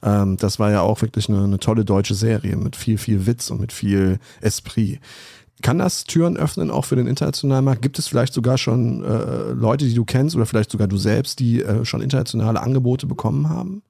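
Man, podcast host, gravelly, mellow tone, rambling questions, dry close sound. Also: mid-range voice, a little fast, dry recording. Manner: asks long, rambling questions. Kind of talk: casual podcast interview.

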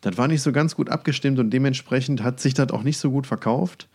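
A man chats as a podcast co-host, informal and unscripted. His wording is colloquial, with wry understatement, and his voice sits at a normal male pitch. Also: brisk, darkish tone, slightly rough. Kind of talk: casual podcast chat.

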